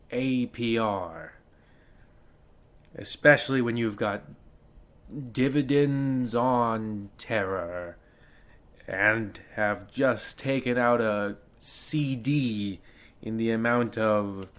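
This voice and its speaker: A ghoulish host of a vintage horror radio show spoof. He speaks slowly and deliberately in a bright, forceful voice. His delivery is theatrical and mock-ominous. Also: fairly low pitch. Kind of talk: old-time radio horror announcer parody.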